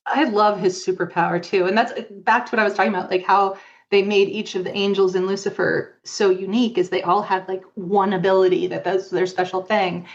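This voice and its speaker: Casting: woman podcast guest, medium pitch, opinionated and chatty, dull lo-fi sound. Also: fairly even intonation, a little fast. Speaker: podcast guest, fan fiction author. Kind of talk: casual conversational interview.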